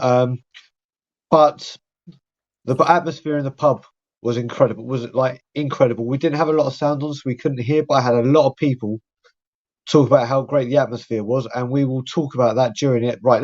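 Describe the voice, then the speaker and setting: A man, hosting a live fan podcast in a chatty, rambling way. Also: mid-range voice. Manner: chatty, rambling shout-outs. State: croaky voice. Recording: dull lo-fi audio.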